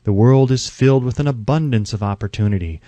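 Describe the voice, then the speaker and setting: A man delivers scripted audiobook narration in a medium-pitched voice. He reads in measured, declarative phrases with an oratorical bent. The recording is dry and close, with no room echo. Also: warm tone. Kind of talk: scripted audiobook narration of a self-help book.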